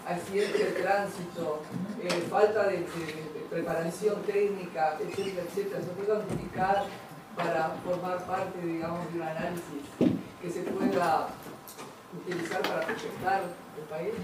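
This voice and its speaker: High-voiced male audience questioner, deliberate, far from the mic in noise. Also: fairly even intonation. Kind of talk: deliberate spoken question at a forum. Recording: very echoey hall, distant, noisy.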